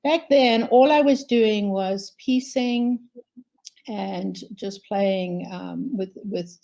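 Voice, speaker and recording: An older woman, a quilt designer, answering interview questions thoughtfully with frequent pauses and ums over a video call. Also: medium pitch, vocal fry, dark tone. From South Africa.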